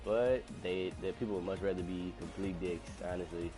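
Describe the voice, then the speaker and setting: Male game streamer, low-pitched, nasal from a lingering cold, rambling casual talk, faint music underneath. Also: steady tone, normal speed, dark tone. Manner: chatty, rambling, complains about rude players. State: recovering from illness. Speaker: gaming streamer. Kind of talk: casual live-stream commentary.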